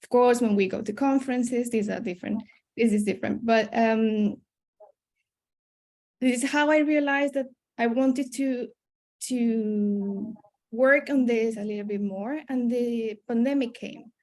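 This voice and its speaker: The young woman on an online careers panel, voice on the high side, explaining her work to students. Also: unhurried, a little mellow. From born in Colombia, raised in Spain. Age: young adult. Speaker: PhD student and science communicator, panelist. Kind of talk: self-introduction at a webinar. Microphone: video call.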